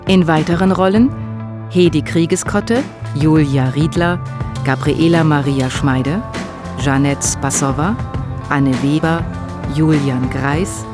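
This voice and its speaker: Female announcer reading over music. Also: sits low. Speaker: credits announcer. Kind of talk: scripted radio drama announcement.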